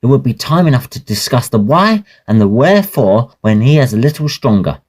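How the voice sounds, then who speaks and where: An actor playing a breezy, sensible young Victorian gentleman in an audio drama, genteel and chatty. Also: mid-range voice, sing-song, fairly quick. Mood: practical.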